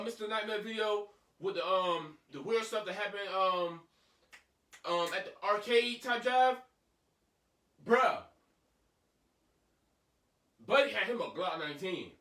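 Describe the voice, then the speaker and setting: A man hosting a reaction video, talking to viewers in casual, animated slang. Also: high-pitched, unhurried, long pauses, distant mic. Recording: roomy, away from the mic.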